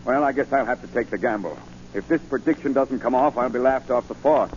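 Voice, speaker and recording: An actor playing a gruff, worried but decisive police commissioner in 1940s radio style. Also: male voice, medium pitch, a little fast, a little mellow, lightly acted. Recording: muffled, hissy 1940 radio recording.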